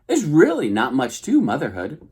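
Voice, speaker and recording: A man's voice: mid-range voice, huge pitch swings, moderate pace, darkish tone, breathy edge, voice pushed up. A man reading aloud and voicing a fussy, bossy old female goose who squawks and scolds.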